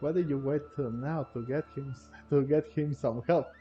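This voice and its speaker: A man's voice: medium pitch, moderate pace, dull audio, soft background music. A man giving casual, giggly commentary as he plays a video game, sometimes reading dialogue aloud. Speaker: gamer commenting on a playthrough.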